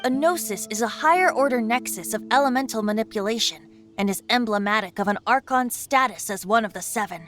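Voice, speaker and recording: Woman voicing a playful, high-voiced boy bard god. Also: fairly quick, few pauses, a bit bright, natural voice. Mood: gently wise. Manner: lilting, theatrical, teasing. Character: playful youthful boy bard, secretly an ancient wind god. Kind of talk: video game character dialogue. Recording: music behind the voice.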